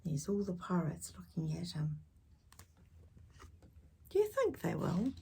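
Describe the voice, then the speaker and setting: A woman reading a picture book aloud to young children, expressive and dramatic, with gentle questioning asides. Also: fairly low pitch, a little slow, gravelly, slight background noise. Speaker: children's storytime reader.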